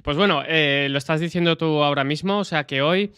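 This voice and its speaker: Host, man, quick and casual, slightly high voice. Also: fairly bright tone, clean tone, no room sound, studio quality. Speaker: podcast host. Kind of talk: podcast interview.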